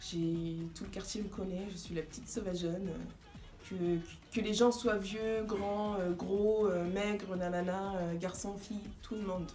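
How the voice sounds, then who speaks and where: A woman's voice: mid-range voice, level tone, a little slow, reverberant, music in the background. A woman in her twenties, born in Guinea and raised in France, chatting casually and giggling as a vlogger. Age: 20s. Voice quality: giggly, rambling. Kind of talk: casual chat.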